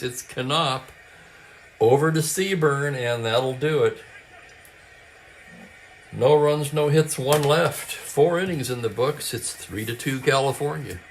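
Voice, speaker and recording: A man calling a tabletop baseball replay as play-by-play, with brisk calls and stat asides, and a voice that is getting hoarse. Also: mid-range voice, fairly bright tone, slight background noise, poor audio. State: losing his voice, clearing his throat. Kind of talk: play-by-play commentary.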